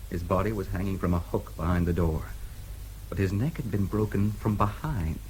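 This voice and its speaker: Male actor, American newspaperman, deep voice, American accent, laconic, daring, radio drama, faint background noise. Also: normal speed, darkish tone, natural voice, low-quality recording. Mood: tense, urgent. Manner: laconic, matter-of-fact.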